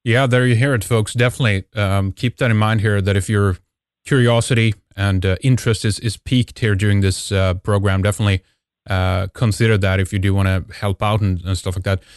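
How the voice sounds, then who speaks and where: Male interview host, casual, addresses listeners directly, dry low voice. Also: fairly even intonation, fairly quick, clear, resonant tone, no echo. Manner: casual, talks to the audience directly. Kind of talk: interview questions.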